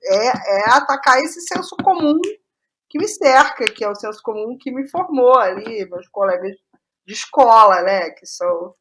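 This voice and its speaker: A middle-aged woman with a Brazilian accent and a fairly high voice. She talks reflectively as a guest in a conversation, stopping to qualify her phrasing and leaning on key terms. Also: expressive, moderate pace, fairly bright tone, a little hiss or hum. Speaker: guest playwright.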